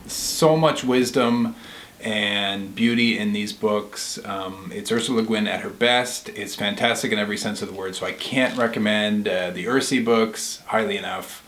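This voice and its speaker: A man with a slightly low voice shares book recommendations as a co-host. He talks casually and conversationally, and the recording is noticeably roomy and echoing. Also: fairly bright tone, a little hiss or hum.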